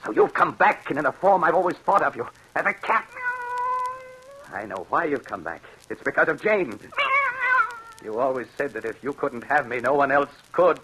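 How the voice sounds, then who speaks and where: A haunted, fearful man in a 1940s-style radio thriller. His voice is sharp and forceful, melodramatic, and it stammers under strain. A faint hiss of old broadcast sits under him. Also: mid-range voice, lively intonation, normal speed, rough recording. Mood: frantic, defiant. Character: haunted, fearful man tormented by a ghostly cat-woman. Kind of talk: 1940s radio drama acting.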